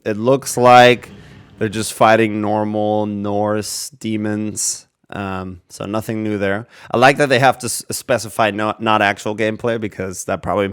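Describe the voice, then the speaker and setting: A man's voice: fairly low pitch, vocal fry, smooth. A man giving casual reaction commentary as a gaming video creator, rambling as he thinks aloud.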